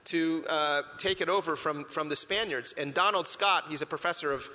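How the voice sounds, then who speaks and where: A man from the Philippines delivers a conversational sermon, musing aloud through rhetorical questions and frequent restarts. His voice sits a little high and rings bright and pointed. The sound carries a long reverb from a church hall. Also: normal speed. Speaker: pastor.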